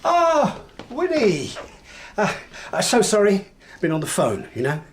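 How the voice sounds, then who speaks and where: An actor playing a domineering, pompous English husband and father in a radio drama, blustering and excitable, with an English accent. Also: high voice, unhurried, slight background noise, exaggerated voice. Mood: breezy bluster.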